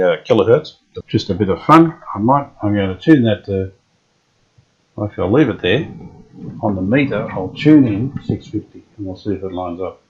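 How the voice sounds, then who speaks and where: Lower-voiced man, casual hands-on workshop narrator who thinks aloud, dull lo-fi sound. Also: a little mellow. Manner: thinks aloud while working, chatty and unhurried. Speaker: vintage radio restorer.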